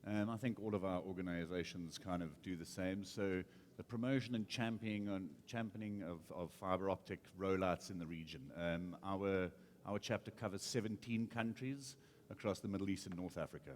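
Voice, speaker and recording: A man's voice: deep voice, steady tone, moderate pace, slight background noise. A man on a conference panel introducing himself briefly, with small restarts.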